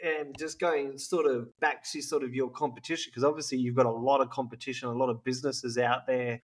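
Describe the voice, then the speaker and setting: A man's voice: medium pitch, level tone, a little fast. A man hosting a casual podcast interview, laid-back and laughing a lot. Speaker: podcast host.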